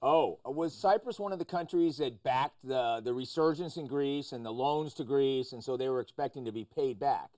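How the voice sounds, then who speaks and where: A man's voice: medium pitch, moderate pace, hardly any pauses, a little mellow. A man hosting a news discussion, putting probing questions and cutting in to press the point. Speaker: talk show host. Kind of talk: interview-style discussion of news.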